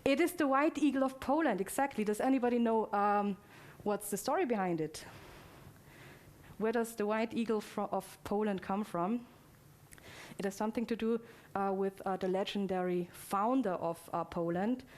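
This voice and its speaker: A woman's voice: medium pitch, moderate pace, a little mellow. A woman giving an informal live guided demonstration in a chatty way, putting playful questions to her audience. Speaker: presenter.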